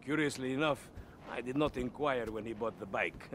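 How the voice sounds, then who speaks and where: A man's voice: medium pitch, moderate pace, fairly bright tone, slight background noise, natural voice. A man playing a scheming middle-aged car dealer in a video game, with an effusive, oily, theatrical salesman's manner. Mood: dismissive. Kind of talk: video game cutscene acting.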